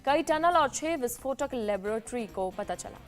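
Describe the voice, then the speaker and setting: A woman news anchor with an Indian accent reading scripted, rapid-fire news headlines. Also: on the high side, expressive, faint music behind.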